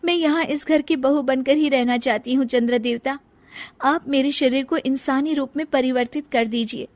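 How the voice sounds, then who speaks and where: A woman's voice: high-pitched, fairly even intonation, moderate pace, voice pushed up, natural voice. A woman voicing an anxious, meek young daughter-in-law with a secret in a family story, pleading and deferential. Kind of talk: dramatized Hindi family storybook acting.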